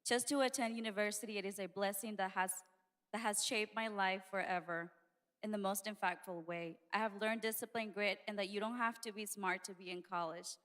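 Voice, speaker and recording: A woman's voice: mid-range voice, fairly even intonation, brisk, a bit bright. A woman in her twenties from Honduras, a student sharing a heartfelt testimony into a handheld mic on stage. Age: 20s. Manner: heartfelt, a bit shy. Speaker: student panelist. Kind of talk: prepared testimony. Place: large stage hall, indoors.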